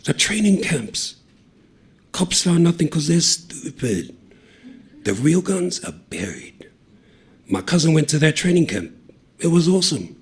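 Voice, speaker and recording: A man voicing a cheeky young male road worker who spins deadpan tall tales in a low, conspiratorial way. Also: measured pace, pauses often, husky, exaggerated voice.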